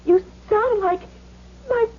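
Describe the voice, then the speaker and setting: Vulnerable young wife, extremely high voice, slow halting lines, muffled vintage radio drama. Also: female voice. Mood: terrified, pleading. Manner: halting, emotional, pleading. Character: frightened young pregnant wife, gangster's former sweetheart. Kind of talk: 1970s radio drama acting. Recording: muffled, noisy old radio recording.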